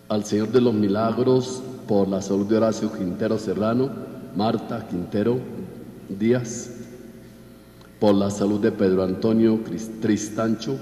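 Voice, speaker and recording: Low-voiced Colombian priest in an echoey church. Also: male voice, dark tone. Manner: measured, list-like recitation of names. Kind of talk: reading out Mass intentions from a list. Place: large church, at the altar. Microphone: altar microphone. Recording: echoey church with long reverb.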